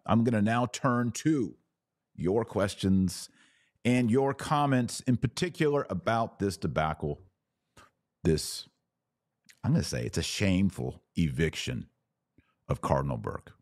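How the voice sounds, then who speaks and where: Deep-voiced American man hosting a podcast. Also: darkish tone, no echo, studio quality. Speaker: podcast host. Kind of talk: casual religious commentary, answering listener comments.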